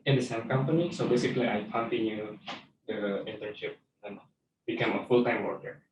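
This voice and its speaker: A young man with an Indonesian accent giving casual, somewhat halting interview answers as a webinar guest. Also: lowish voice, steady tone. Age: young adult. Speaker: interview guest, software developer. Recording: muffled, roomy, distant mic, faint noise.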